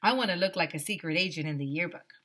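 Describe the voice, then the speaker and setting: A woman's voice: lowish voice, fast talker, sharp tone. A woman voicing a goofy young schoolboy who wants to seem cool, speaking in an emphatic, matter-of-fact way. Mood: self-assured.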